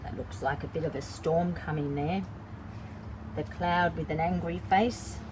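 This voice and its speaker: Storytime educator, female. Unhurried, lowish pitch, stretched key words, noticeable background rumble. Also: fairly even intonation. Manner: simple explanatory asides, drawn-out emphasis on key words. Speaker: children's story time host and early childhood educator. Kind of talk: read-aloud picture book storytelling for young children.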